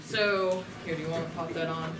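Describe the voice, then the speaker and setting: A woman's voice: low voice, steady tone, fairly quick, over a noisy crowd. Woman hosting, echoey and distant. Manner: informal, jokey, loosely organised. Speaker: radio play troupe host. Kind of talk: casual live introduction to an audience. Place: convention event room. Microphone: shared wired microphone. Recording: echoey distant live room, loud noise.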